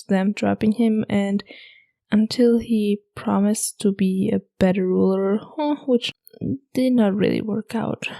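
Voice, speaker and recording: A young woman, hosting a podcast and chatting casually about a book in a hushed half-whisper, late at night at home. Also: mid-range voice, gravelly. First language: German. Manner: hushed, half-whispered talk to avoid waking roommates. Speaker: podcast host.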